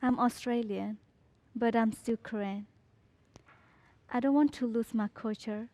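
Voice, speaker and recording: Slow, low-toned teenage girl reading. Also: fairly even intonation, dark tone, dry recording. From Burma. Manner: slow, measured, deliberate reading. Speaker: student. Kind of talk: reading a personal story.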